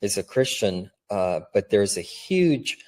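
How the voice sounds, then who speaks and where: Male co-host, low mellow voice, addresses the viewers directly. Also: very animated, takes it slowly. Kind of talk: talk show commentary.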